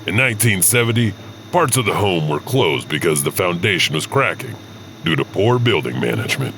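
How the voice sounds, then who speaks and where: Deep, animated male horror narrator. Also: a little fast, slight background noise. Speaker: narrator and host. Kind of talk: scripted horror anthology narration.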